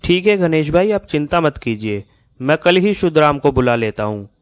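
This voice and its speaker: A man's voice: a little high, very animated, normal speed, very noisy. A man playing an innocent, obliging male neighbour in a Hindi moral-story cartoon, casual in manner. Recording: music behind the voice.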